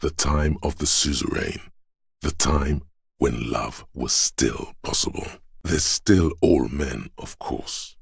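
A menacing, brooding inner voice from a video game, speaking from the very bottom of the male register. The delivery is slow and solemn, thick with gravelly creak. It coaxes and commands like dramatic narration, gruff and deliberate. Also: no echo, clean studio sound, exaggerated voice. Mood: grave, ominous. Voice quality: very deep, gravelly, husky rasp. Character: gruff, menacing inner voice of a man's body, reactionary, coaxing.

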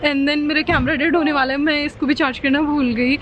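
A woman's voice: sits high, fairly even intonation, a little fast, a bit bright, dull audio, slight background noise. A woman, chatting upbeat to a handheld camera on a bus journey. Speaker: travel vlogger. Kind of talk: casual on-camera travel vlog, Hindi-English mix. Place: inside a bus and at roadside stops. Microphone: handheld camera at arm's length.

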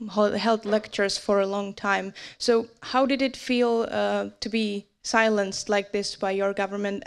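A woman's voice: mid-range voice, vocal fry. A woman, a television journalist asking a question at a press conference. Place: university conference room.

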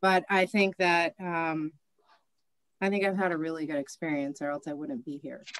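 A middle-aged woman with a slightly low voice speaks candidly in an informal panel conversation. She repeats words for emphasis, and the sound is dry, as from a computer on a video call. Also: little pitch movement, normal speed, no room sound. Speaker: panelist, business owner. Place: small office indoors.

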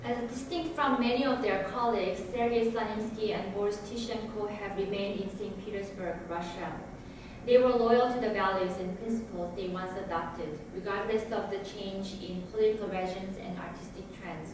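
Woman lecturer, measured reading pace, distant mic in a reverberant hall with room hiss. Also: medium pitch. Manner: measured, reading from notes with occasional hesitations. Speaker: lecturer giving a lecture recital. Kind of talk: read lecture presentation. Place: recital hall with grand piano and projection screen. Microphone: far room camera. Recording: echoey hall from far off, audible room noise.

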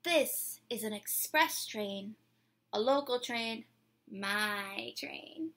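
A woman in her twenties reading a picture book aloud to children, with playful, deliberate, dramatic phrasing, recorded at home in a small room. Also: a little high, animated, background noise. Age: 20s. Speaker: children's read-aloud presenter.

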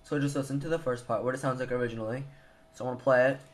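A man's voice: mid-range voice, fairly even intonation, very fast. Informal young man, noisy recording. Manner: informal, hesitant, thinking aloud. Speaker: tutorial presenter. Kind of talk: casual screen tutorial. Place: indoors at a computer. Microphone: handheld camera mic. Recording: noisy handheld camera recording.

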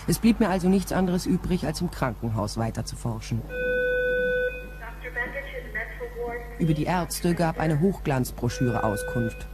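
An actress playing a wry, sharp-eyed female private detective, narrating a crime radio drama in a dry, measured way. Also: low-pitched, expressive. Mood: wry, matter-of-fact.

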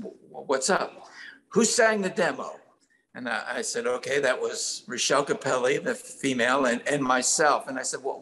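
A man's voice: mid-range voice, fairly bright tone, poor audio. An older man telling stories casually as a songwriter guest in an interview over a video call. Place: indoor room at home.

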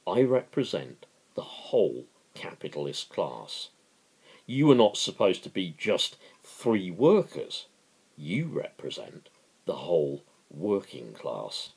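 A man voicing a working man who patiently lectures as a pretend capitalist in a novel, emphatic and explanatory, with a gravelly voice. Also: deep voice, huge pitch swings, slow, darkish tone, full character voice.

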